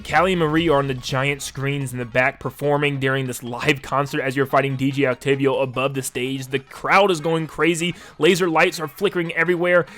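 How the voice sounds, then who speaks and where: A man's voice: medium pitch, fairly bright tone. A man giving casual, enthusiastic and emphatic video essay commentary as a conversational game reviewer. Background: music under the voice.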